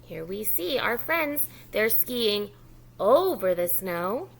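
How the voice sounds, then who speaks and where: Animated woman reading aloud to preschoolers. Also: mid-range voice, slow, gravelly, a little hiss or hum, low-quality recording. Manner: instructional, addressing young children, repeating key words with prompts and praise. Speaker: preschool educator reading a picture book to children. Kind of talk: children's read-aloud lesson with call-and-response prompts.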